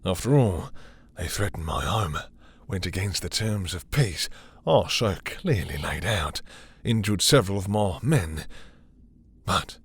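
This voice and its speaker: A man playing a possessive, dominant vampire lord in intimate roleplay audio, with a husky, breathy voice and a teasing, seductive, condescending manner. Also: low-pitched, animated, measured pace, heavily acted. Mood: possessive, menacing. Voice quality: husky, breathy, dropping into whispers.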